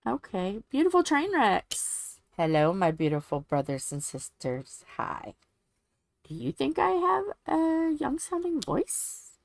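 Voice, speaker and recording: A woman in her forties with a young-sounding, soft voice, chatting casually while she crafts on a livestream. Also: sits high, huge pitch swings, slow pace, vocal fry, dry recording. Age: 40s. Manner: soft, sleepy-sounding delivery.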